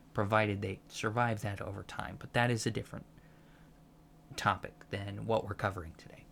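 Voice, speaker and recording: Soft spoken guy doing asmr professor roleplay, really slow, pedantic little asides, bit of hum behind him. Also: fairly low pitch, gravelly. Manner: halting, pedantic, explanatory asides. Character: patient alien professor lecturing on humans, fascinated by them. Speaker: ASMR roleplay performer playing an alien college lecturer.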